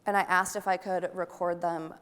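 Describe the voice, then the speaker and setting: A woman's voice: on the low side, level tone, normal speed, fairly bright tone, smooth. A young woman giving a photography talk, chatty and enthusiastic and asking her audience questions. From Wisconsin, United States. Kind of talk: conference presentation to an audience. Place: large conference hall. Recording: long hall reverb.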